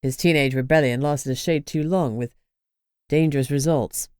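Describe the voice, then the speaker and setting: A woman voicing a gruff male vampire. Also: deep voice, normal speed, dry recording, voice pushed down, lightly acted. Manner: gruff, dry and flat. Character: gruff stern male vampire portal keeper.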